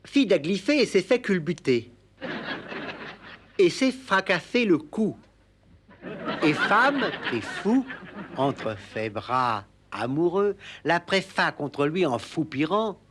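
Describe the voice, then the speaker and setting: Comedy sketch: pompous lisping poetry reader, high pitch, slow, solemn delivery. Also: male voice, sing-song, a bit bright, slight background noise, full character voice. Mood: dramatic storytelling. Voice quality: muddled sibilants, lisp-like impediment. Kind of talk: theatrical comic poetry recital.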